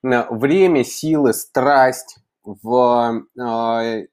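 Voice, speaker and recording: A middle-aged man talking as an interviewer on a video call, with deliberate, choppy phrasing and hesitations. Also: mid-range voice, darkish tone, smooth. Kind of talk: conversational interview questioning. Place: indoor room, video call.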